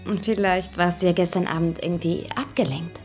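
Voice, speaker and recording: A woman's voice: lowish voice, steady tone, brisk, darkish tone, soft background music, natural voice. An actress playing a teasing, bold young woman in a radio drama, coaxing and playful.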